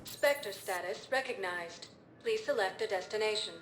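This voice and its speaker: A woman's voice: medium pitch, moderate pace, a bit bright, close to an everyday voice. A woman voicing a polite automated transit announcer in a sci-fi video game. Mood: neutral, polite. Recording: echoing announcement, clear background noise.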